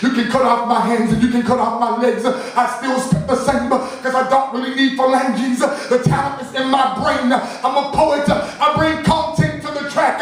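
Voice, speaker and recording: High-voiced New poet, declaiming. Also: male voice, flat delivery, moderate pace, few pauses, soft background music. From New Orleans. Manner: rhythmic, declamatory, rhyming punch lines. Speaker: spoken word poet. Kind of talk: spoken word performance at an open mic. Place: small poetry café, indoors. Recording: very echoey room, voice from far off.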